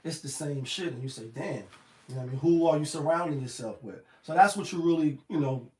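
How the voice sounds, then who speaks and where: A man with a slightly raspy voice giving a casual, rambling motivational talk full of slang and "you know what I mean.". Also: on the high side, fairly quick, echoey room. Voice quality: a little raspy. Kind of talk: casual motivational monologue to camera.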